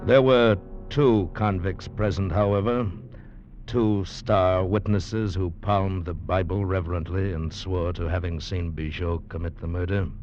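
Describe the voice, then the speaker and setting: An actor playing a loyal, earnest convict who narrates his friend's doom in deliberate 1940s-50s radio drama style. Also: deep voice, fairly even intonation, a little mellow. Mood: grave, dramatic. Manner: grave, deliberate dramatic storytelling. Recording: dull vintage radio recording with music.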